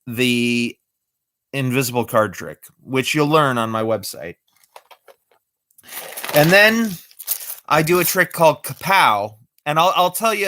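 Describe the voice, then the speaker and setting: A man's voice: medium pitch, pushed and bright, smooth, dry recording. A man chatting casually on a live stream, explaining his magic tricks step by step. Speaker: amateur magician.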